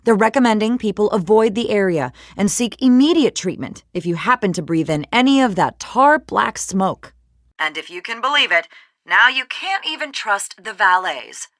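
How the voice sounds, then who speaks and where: An actress playing a polished, brisk woman news reporter, reading scripted bulletins in a measured, slightly wry newsreader delivery for a game. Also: mid-range voice, lively intonation, fairly bright tone, no echo, studio quality, lightly acted. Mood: wry, cautionary.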